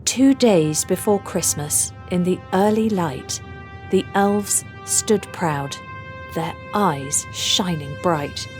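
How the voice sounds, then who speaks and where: A woman narrating a children's storybook aloud, with deliberate, rhythmic delivery of rhymed phrases. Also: lowish voice, a little mellow, soft background music. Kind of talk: scripted storybook read-aloud.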